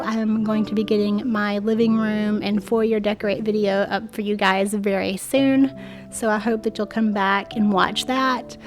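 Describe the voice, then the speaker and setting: A woman in her thirties with a stuffy, congested voice, chatting to viewers in a friendly home decor voice-over. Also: fairly high pitch. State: stuffy nose from a cold. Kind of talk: voice-over narration. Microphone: voice-over mic.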